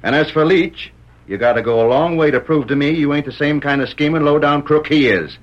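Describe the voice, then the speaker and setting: An actor playing a stubborn, suspicious sheriff with folksy cowboy talk in an old radio Western. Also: male voice, fairly high pitch, a little fast, close to an everyday voice. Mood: stern, distrustful. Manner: gruff cowboy drawl, folksy slang. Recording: muffled, hissy vintage radio recording.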